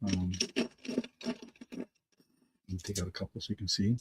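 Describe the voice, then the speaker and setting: A man hosting a live online auction in a rambling, halting way, full of restarts and fillers, hoarse from allergies. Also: fairly low pitch, wildly swooping pitch, very noisy. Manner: halting, lots of ums and restarts.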